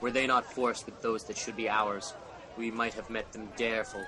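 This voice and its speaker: An actor playing an embittered, defiant tyrant king in a Shakespearean audio drama, declaiming between hushed brooding and roars. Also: fairly low pitch, fairly even intonation, normal speed, noisy background, lightly acted. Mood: defiant, commanding.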